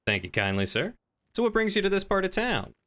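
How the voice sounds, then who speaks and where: A man's voice: high voice, very animated, brisk, bright, forceful tone. A man improvising in-character roleplay chat as a courteous, folksy tavern keeper in an online game.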